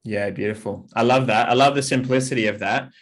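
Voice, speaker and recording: A man's voice: medium pitch, fairly quick. Affirming male podcast host. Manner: encouraging, answers questions readily. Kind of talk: conversational podcast interview.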